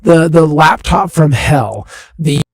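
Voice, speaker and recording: A man hosting a talk show, his voice hoarse and raspy while he recovers from an illness, speaking loosely with fillers. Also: a little high, animated, takes it slowly, no room sound. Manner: loose, filler-heavy delivery. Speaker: show host. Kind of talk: hosted talk show monologue.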